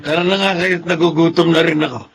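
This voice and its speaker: A man's voice: a little high, steady tone, fairly quick, a little hiss or hum, lightly acted. A man playing an earnest, emotional middle-aged father figure in a Filipino radio drama. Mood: jovial.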